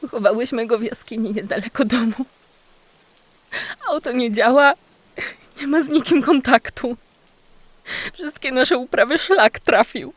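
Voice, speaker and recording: A woman's voice: a little high, unhurried, pauses often, a little hiss or hum, close to an everyday voice. Woman playing a worried mother's diary voice. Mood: grieving, desperate. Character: loving, worried mother reading out her storm diary. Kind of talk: audio drama diary monologue.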